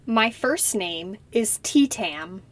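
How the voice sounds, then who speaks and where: A woman playing a slow, careful young applicant. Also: mid-range voice, animated, dry recording. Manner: slow, careful, deliberate answers. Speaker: citizenship applicant in a practice interview. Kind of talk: scripted role-play interview.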